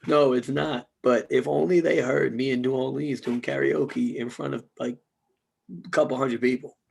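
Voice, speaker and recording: A man co-hosting a podcast in casual chat, hoarse from losing his voice, joking loosely and swearing freely. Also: medium pitch, fairly even intonation, moderate pace, darkish tone. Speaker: podcast co-host.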